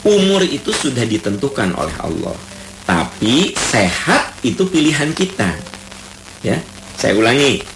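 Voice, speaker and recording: A man from Bandung with a Sundanese accent, preaching a lively radio sermon that repeats key terms and recites Arabic verses. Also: on the low side, background noise. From Bandung, Indonesia. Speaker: Islamic preacher. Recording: roomy radio broadcast with faint ambience.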